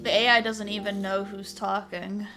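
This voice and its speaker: A woman's voice: medium pitch, flat delivery, normal speed, music in the background, rough recording. A woman chatting casually on a livestream in a blunt, exasperated way with some swearing. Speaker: video creator and streamer. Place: at a desk, in a VR chat.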